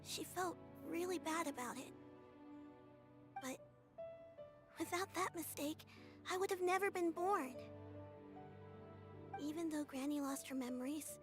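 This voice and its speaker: A shy young boy, talking softly with hesitant stops. He sounds sincere and eager. His voice is high, with faint music underneath. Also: a little slow, pauses often. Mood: earnest. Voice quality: hesitant, soft. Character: young boy, timid and earnest. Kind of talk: game voice acting.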